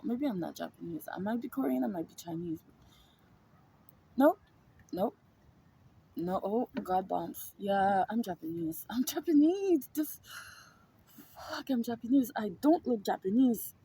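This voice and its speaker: A woman's voice: mid-range voice, animated, measured pace, vocal fry, pauses often, mellow, slight background noise. A woman vlogger telling a personal story in a casual monologue, emphatic and repetitive, swearing freely. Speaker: spiritual vlogger.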